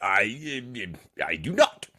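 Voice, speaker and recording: A man acts a nervous old miser in a theatrical radio drama. He stammers and blusters at a slow pace, his pitch leaping wildly. He is skeptical, fearful and pleading. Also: sing-song, pushed and bright, breathy edge, full character voice. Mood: skeptical, blustering. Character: frightened, skeptical old miser facing a ghost. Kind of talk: theatrical radio play acting.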